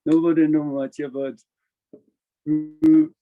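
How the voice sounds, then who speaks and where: A man with a Japanese accent giving an informal spiritual talk in English as a Zen master, halting, repeating his phrases and chuckling often. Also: a little high, little pitch movement, phone-quality sound, over music. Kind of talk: informal spiritual talk over online meeting. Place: online video meeting.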